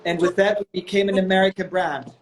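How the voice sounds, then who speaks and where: A man's voice: high-pitched, steady tone, a little fast, slight background noise. Chatty man in his thirties. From Germany. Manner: chatty and storytelling, lots of "you know" and "um". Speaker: guest, company executive. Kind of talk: casual interview on a live video call. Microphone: video call.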